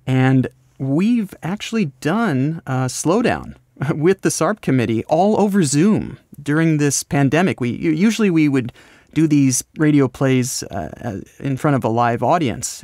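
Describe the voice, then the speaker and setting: American man, mid-pitched voice, casual interview, rambling halting delivery, dry close sound. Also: darkish tone, dry recording. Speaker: podcast host, voice actor and scriptwriter being interviewed.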